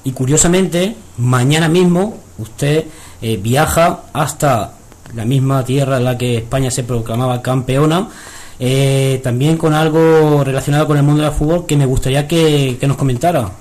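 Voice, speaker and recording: A man's voice: medium pitch, normal speed, darkish tone. A man hosting a radio interview, asking questions formally and politely. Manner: formal, uses usted. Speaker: radio host.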